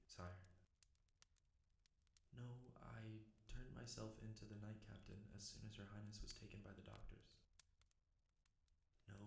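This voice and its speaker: A man playing a devoted, guilt-ridden knight in a fantasy ASMR roleplay, speaking formally and earnestly with a gravelly voice. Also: fairly low pitch, a little mellow. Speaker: roleplay audio performer. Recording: roomy echo, faint noise, clicks.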